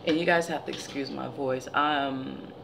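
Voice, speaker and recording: A woman in her forties with a deep, gravelly, tired-sounding voice, chatting casually as a vlogger in her living room. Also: measured pace, background noise. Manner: chatty, animated storytelling. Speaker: beauty vlogger. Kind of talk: casual product haul chat. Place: living room by window blinds, indoors.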